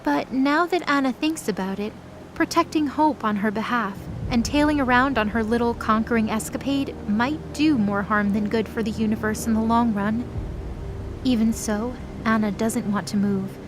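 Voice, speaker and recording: A woman's voice: a little high, normal speed, noisy background. A woman reading scripted story narration for an audio drama.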